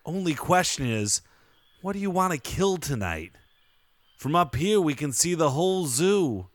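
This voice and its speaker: An actor playing a cocky vampire biker thug, goading and theatrical with mock-grandiose flourishes. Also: male voice, fairly high pitch, very animated, unhurried, no room sound. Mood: goading, smug. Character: cocky male biker-type vampire thug, taunting ringleader.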